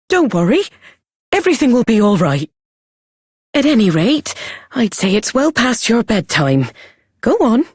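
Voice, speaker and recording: A woman playing a doting middle-aged mother in a fantasy video game, with a British accent and an affectionate, expressive manner. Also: mid-range voice, slightly rough, no echo, clean studio sound, heavily acted. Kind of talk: scripted video game voice acting.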